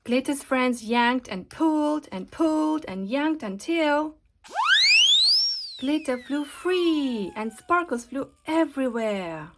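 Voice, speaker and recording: A woman narrating a children's picture book aloud in an expressive, deliberate way. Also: sits high. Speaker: storybook reader and host. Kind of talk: scripted children's storybook narration.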